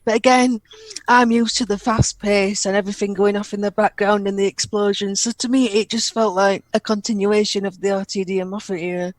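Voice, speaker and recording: A woman's voice: mid-range voice, flat delivery, a little fast, no echo. A woman co-hosting a podcast discussion, speaking hesitantly with fillers and restarts. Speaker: podcast co-host.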